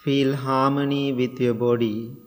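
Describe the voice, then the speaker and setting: Slow, gentle monk from Sri Lanka. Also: male voice, mid-range voice, little pitch movement, in a large hall, a little hiss or hum. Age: middle-aged. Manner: slow, gentle, with frequent pauses and fillers. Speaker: Buddhist monk and meditation teacher. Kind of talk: guided meditation and dharma talk.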